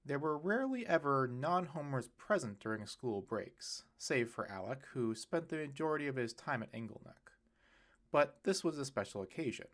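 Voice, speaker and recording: Male audiobook narrator, animated pitch, mid-range voice, reads fiction. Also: normal speed. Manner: lively reading with bright, raised voices for quoted characters. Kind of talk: reading a serialized novel aloud.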